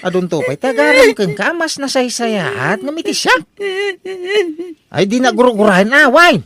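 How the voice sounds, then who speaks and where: A man's voice: very high voice, huge pitch swings, moderate pace, fairly bright tone, heavily acted. Man playing fickle young Ilocano groom, wildly swooping voice. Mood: wheedling. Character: fickle, pleading, brazen young bridegroom. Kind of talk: comedy radio drama acting.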